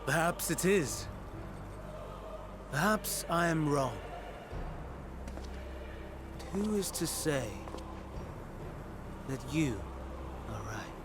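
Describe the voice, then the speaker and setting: A man playing a solemn, coldly reasoning overseer in a video game, speaking in a formal, measured way over music. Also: fairly high pitch, sing-song, noisy background, full character voice. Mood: grave, doubting. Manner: measured, formal, grave, deliberate pauses. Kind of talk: dramatic video game acting. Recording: music behind the voice.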